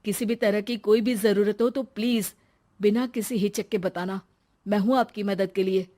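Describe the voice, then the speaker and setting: A woman voicing an earnest, protective college-age young man in an animated moral story. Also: medium pitch, moderate pace, lightly acted. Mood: caring.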